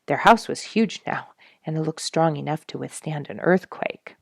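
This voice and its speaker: A woman narrating a children's story aloud in a measured, deliberate way with dramatic emphasis. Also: deep voice, vocal fry, no echo, clean studio sound. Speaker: children's story reader, regular of the show.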